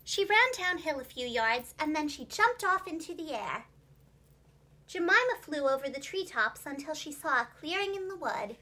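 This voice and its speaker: Female children's storyteller: high, bright voice, expressive and clearly enunciated, scripted storybook narration. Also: moderate pace. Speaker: preschool teacher and children's storyteller.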